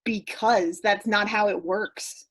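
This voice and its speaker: A woman's voice: medium pitch, fairly even intonation, takes it slowly, slightly rough. A woman playing an anxious, naive young character who rambles nervously in a staged play reading. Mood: correcting.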